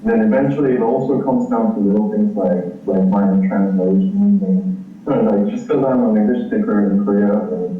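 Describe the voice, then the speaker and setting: A man's voice: fairly low pitch, animated, a little fast, far from the mic, faint music behind. Hesitant man on a tinny call line. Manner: hesitant, many fillers like "like" and "um". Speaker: panel speaker from a game publisher. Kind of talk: casual panel talk over a call. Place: remote, heard through a video call. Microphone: built-in laptop microphone. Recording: phone-like call audio in an echoey hall.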